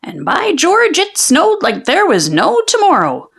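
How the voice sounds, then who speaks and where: A woman narrating a children's storybook in an expressive, folksy storytelling manner. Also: high voice, a little slow, vocal fry. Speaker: children's book read-aloud narrator. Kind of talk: animated storybook narration of a folksy tall tale.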